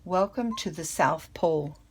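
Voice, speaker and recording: A woman's voice: lowish voice, a little slow, breathy edge. An older woman reading a children's picture book aloud as a storyteller. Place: small furnished room at home, indoors.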